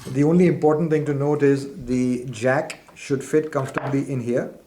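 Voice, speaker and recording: A man's voice: mid-range voice, fairly even intonation, normal speed, mellow, a little hiss or hum. Man giving a methodical product demonstration. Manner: methodical, step-by-step explaining. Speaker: product presenter.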